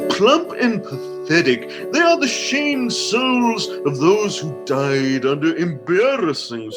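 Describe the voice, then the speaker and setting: A man playing a pompous gentleman host, narrating comedy radio theatre in a florid, mock-grand, theatrical manner. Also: fairly high pitch. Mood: ornate, amused. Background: plucked guitar music.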